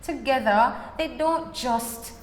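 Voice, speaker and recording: A woman's voice: sits high, takes it slowly, slight background noise. A woman hosting a podcast, upbeat and putting emphasis on her intro. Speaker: podcast host. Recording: echoey hall with long reverb.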